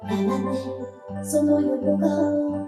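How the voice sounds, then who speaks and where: A woman giving dramatic scripted narration for a staged radio play, in deliberate short phrases. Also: high-pitched, fairly even intonation. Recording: very echoey stage sound. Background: piano music.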